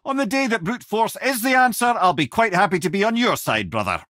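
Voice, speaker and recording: A man's voice: high-pitched, very animated, brisk, fairly bright tone, no echo, clean studio sound. A male actor voicing a witty, wise, talkative older Scottish companion in a video game, with a wry, eloquent storytelling manner. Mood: urgent, lively. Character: witty, garrulous, wise severed-head companion, older man.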